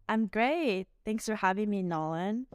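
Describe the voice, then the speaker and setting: A woman in her forties from Japan, speaking English with a Japanese accent as a podcast guest, warm and laughing often, with plenty of "um" and "like". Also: mid-range voice, expressive, measured pace, clear, resonant tone. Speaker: guest, dance instructor. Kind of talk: casual interview.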